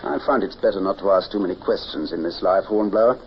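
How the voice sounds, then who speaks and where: An actor playing a thoughtful, discreet naval lieutenant with a measured, tactful manner in a 1950s radio drama. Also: male voice, low voice. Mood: musing, discreet. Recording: dull old radio recording, faint hiss.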